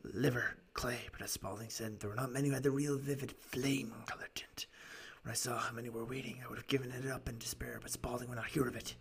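A man voicing an earnest, wordy Victorian London shopkeeper in a raspy voice, telling his tale as in a storybook. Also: mid-range voice, steady tone, fast talker, few pauses. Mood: marveling, breathy.

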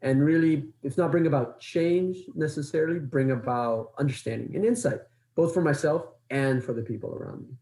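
A young man in his twenties co-hosts a podcast, speaking in an earnest, measured way. His voice is warm and dark in tone, and it is recorded in a noticeably echoey room. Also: fairly high pitch. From Ecuador, raised in Los Angeles. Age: 20s. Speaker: podcast co-host. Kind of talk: scripted intro then casual chat.

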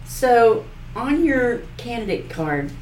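A woman's voice: on the high side, sing-song, takes it slowly, a little mellow. A woman from rural Missouri hosting a casual interview, folksy and self-deprecating, asking short questions. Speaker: podcast host. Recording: echoey room, mic far off, faint noise.

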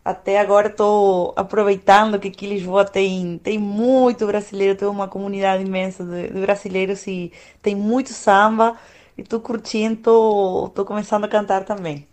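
A woman in her thirties whose first language is Spanish, an opera singer chatting casually as a guest in a reflective, unhurried way, often pausing to find words. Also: mid-range voice, level tone, darkish tone. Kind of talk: casual conversation.

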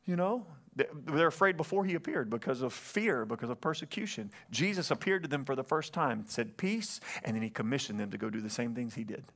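A man's voice: medium pitch, animated, brisk, few pauses, a bit bright. A man pastoring a church, preaching earnestly from the stage, often calling out for an amen and repeating phrases as he goes. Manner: earnest, calls for amens, repeats himself. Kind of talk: sermon. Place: church sanctuary. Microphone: stage microphone.

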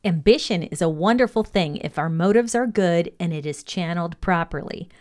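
A woman presenting a scripted motivational podcast lesson, reading aloud in a measured, deliberate, instructional way. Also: fairly low pitch, a little mellow.

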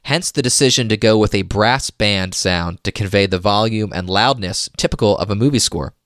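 A man's voice: on the low side, moderate pace, fairly bright tone, dry recording, clean studio sound. A man hosting a podcast, reading a scripted history segment with casual asides. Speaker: podcast host.

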